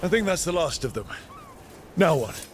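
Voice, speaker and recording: Inquisitive straight-talking adventurer hero. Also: male voice, fairly high pitch, big pitch swings, normal speed, slight background noise. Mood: curious, earnest. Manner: questioning, conversational. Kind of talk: video game dialogue. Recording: faint game ambience behind.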